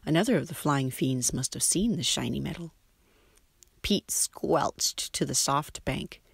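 A woman's voice: deep voice, dry recording. A woman reading a scripted short story aloud as a storyteller. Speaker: storyteller, shop assistant presenter.

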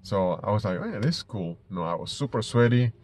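A middle-aged man from Ecuador with an Ecuadorian Spanish accent, telling stories casually to camera in a halting way, repeating words as he goes. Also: lowish voice, sing-song, darkish tone, a little hiss or hum. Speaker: small business owner vlogger. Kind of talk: casual personal storytelling to camera. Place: inside a parked car.

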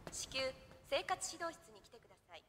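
An actress playing a formal, stern school staff member making a clipped announcement in an anime audio drama. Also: female voice, sits high, big pitch swings, brisk. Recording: long hall reverb, loud music.

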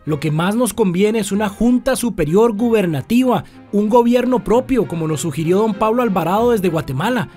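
Colonial town leader, high voice, firm and deliberate, radio play, light music behind. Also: male voice, animated, a little mellow. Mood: firm, worried. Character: resolute male colonial town leader, concerned and principled. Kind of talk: radio drama acting.

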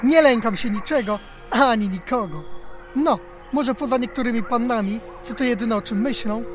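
A man's voice: extremely high pitch, normal speed, music in the background. A man voicing a boastful, brave male hare in a children's audio drama, proud and swaggering.

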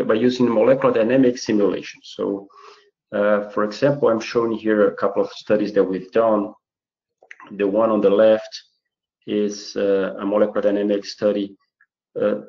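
Brazilian male academic, lowish voice, hesitant lecture delivery, dull echoey webinar sound. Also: darkish tone. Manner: halting, many fillers and restarts. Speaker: chemistry researcher giving a webinar. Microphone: computer mic on a video call. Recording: roomy, muffled webinar audio.

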